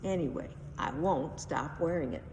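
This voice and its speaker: A woman's voice: low-pitched, normal speed, darkish tone, voice pushed down, lightly acted. A woman voicing a stubborn, cranky old grandpa in a storybook read-aloud, indignant and emphatic. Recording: distant, echoey hall with faint noise.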